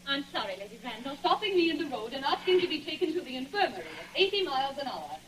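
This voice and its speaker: Quick scolding driver, high voice, far off and echoey. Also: female voice, sing-song, a bit bright, slight background noise. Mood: chiding. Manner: fast, chiding. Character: brisk young woman driver, chiding. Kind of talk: 1940s radio acting. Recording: distant, roomy old radio broadcast.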